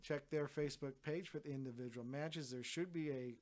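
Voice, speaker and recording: A man hosting his own weekend events roundup from home, chatty and relaxed, with plenty of filler words and dry little asides. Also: fairly high pitch, brisk. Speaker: host of a wrestling events roundup show. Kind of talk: casual solo roundup, talking to camera.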